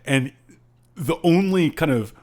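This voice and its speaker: A man's voice: fairly high pitch, expressive, slow. A man co-hosting a podcast, chatting casually about a book in a wry, amused manner. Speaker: podcast co-host. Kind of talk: casual, joking book discussion.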